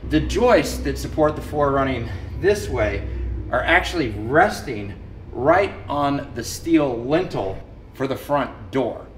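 A man's voice: medium pitch, fairly bright tone, a little hiss or hum. A man in his thirties explaining building repair work in a casual, matter-of-fact vlog, recorded on a camera mic in a large empty brick building. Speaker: renovation vlogger. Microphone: camera mic held at arm's length.

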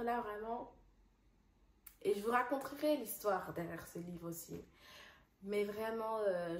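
Young woman vlogger, Dutch native, chatty and halting, mid pitch, roomy phone sound. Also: slight background noise. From Congolese parents, raised partly in the Netherlands. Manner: chatty, restarts often, slips into English words. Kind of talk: casual direct-to-camera chat.

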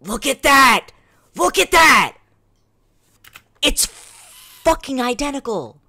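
A young man giving a casual, sweary live reaction as a game streamer, with an excitable, slangy manner. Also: extremely high pitch, takes it slowly. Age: young adult. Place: indoors, small home room.

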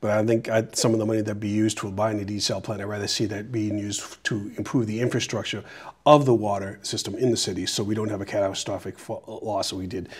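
A middle-aged man from Peru, a mayoral candidate answering interview questions in a small TV studio on a lapel mic, earnest and halting with restarts. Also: lowish voice, little pitch movement, breathy edge.

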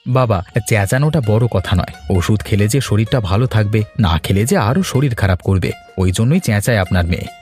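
A man's voice: fairly low pitch, expressive, a little fast, darkish tone, soft background music, close to an everyday voice. A man voicing a courteous, food-loving young son-in-law in a cartoon, eager and respectful with his elders. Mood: earnest, respectful. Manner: courteous, earnest. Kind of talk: Bengali cartoon dialogue dub.

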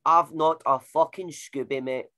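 A man's voice: on the high side, steady tone, measured pace, pushed and bright. A man with a Glaswegian accent playing a blunt, slangy working-class actor who swears through his banter in a comedy sketch. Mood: baffled. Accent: Glaswegian Scottish. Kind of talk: comedy audio sketch acting.

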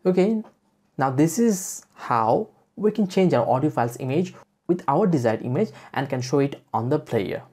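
A woman presenting a software tutorial as a step-by-step voice-over. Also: sits low, lively intonation, moderate pace, husky. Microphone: voice-over for screen recording.

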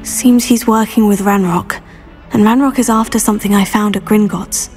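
A woman's voice: mid-range voice, fairly even intonation, moderate pace, a little mellow, soft background music, lightly acted. A woman playing a plucky, eager teenage wizard student in a video game, polite and self-assured. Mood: confiding. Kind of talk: video game cutscene acting.

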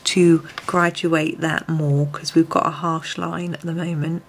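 A woman, a little croaky, gently talking through a colouring tutorial at her desk, often dropping to a near whisper. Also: low voice, flat delivery, measured pace, a little hiss or hum. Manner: soft-spoken, often drops to a near whisper. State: slightly croaky voice. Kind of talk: relaxed step-by-step tutorial. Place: home desk, filmed from above.

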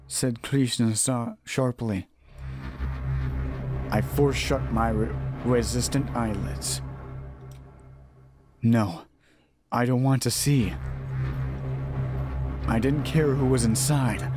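A man's voice: medium pitch, slow, long pauses. Man reading aloud over music, stumbling a bit. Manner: stumbles over words and rereads phrases. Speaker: let's play host reading aloud. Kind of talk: reading a horror visual novel aloud. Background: game music.

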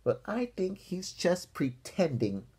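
A man's voice: high-pitched, big pitch swings, takes it slowly, a little mellow, husky, pitched above the performer's own voice, full character voice. A man voicing a sceptical, whiny little girl from a picture book about cartoon animals. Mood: doubting, whiny.

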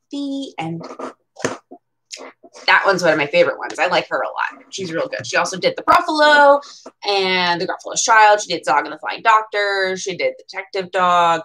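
A woman's voice: on the low side, a bit bright, poor audio. A young adult woman reading children's picture books aloud in a playfully dramatic way, with chatty asides, recorded in a small room at home.

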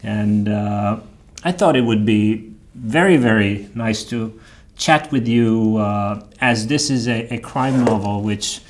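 A middle-aged man hosting an interview, speaking hesitantly with many pauses and restarts, in a small studio on a lapel mic. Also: fairly low pitch. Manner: hesitant, full of fillers and restarts, laughs easily. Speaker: interviewer host. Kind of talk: casual interview talk. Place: small studio with red curtain.

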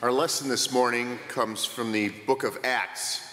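Middle-aged male lector, slow lively reading, bright tone, roomy church reverb. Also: medium pitch, slight background noise. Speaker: scripture reader. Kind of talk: scripture reading. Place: church sanctuary, at a lectern. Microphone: lectern mic.

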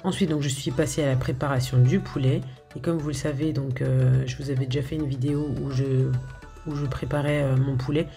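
A woman's voice: extremely low pitch, steady tone, brisk, gravelly, warm tone, soft background music. A woman talking casually over a video of her home cooking, hesitant, with lots of filler sounds and restarts. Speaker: home cook showing her recipes.

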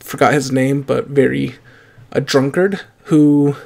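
A man's voice: mid-range voice, fairly even intonation, measured pace, gravelly, a little mellow. A young man hosting an anime reaction video, chatting casually from a small room at home with plenty of fillers and restarts. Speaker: anime reaction channel host.

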